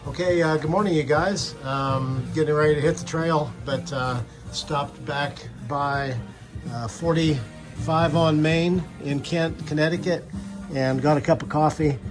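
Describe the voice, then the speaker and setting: An older man, a long-distance hiker, vlogging casually to camera outdoors, relaxed and halting with many uhs. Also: fairly high pitch. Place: outdoors in a small town. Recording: music laid under the voice.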